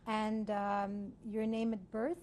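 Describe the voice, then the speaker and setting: A woman conducting an oral history interview, asking measured, formal questions. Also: mid-range voice, monotone, darkish tone.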